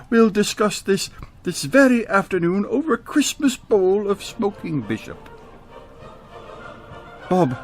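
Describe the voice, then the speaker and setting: A man playing a reformed old miser, giddy and generous, in theatrical radio drama style with stammers and chuckles. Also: high-pitched.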